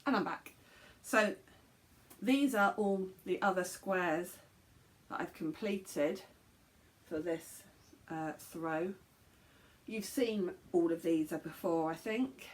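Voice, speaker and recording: A woman with a slightly croaky throat, chatting casually to camera at home as a knitting podcast host, rambling with many ums and restarts. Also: lowish voice, takes it slowly, pauses often.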